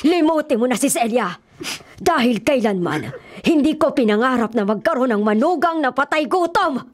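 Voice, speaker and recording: Shrill-pitched, haughty Filipina mother. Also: expressive, moderate pace. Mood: scornful, commanding. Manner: scolding, commanding. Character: haughty, domineering middle-aged mother. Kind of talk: Filipino radio drama acting.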